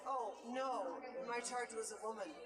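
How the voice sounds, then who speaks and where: A woman's voice: a little high, unhurried, recorded from a distance, close to an everyday voice. A woman reading a storybook part as a grieving, protective man who speaks with hesitant, reassuring restraint. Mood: restrained grief. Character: grieving, guarded, protective man hiding his feelings. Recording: roomy festival sound, music and crowd behind.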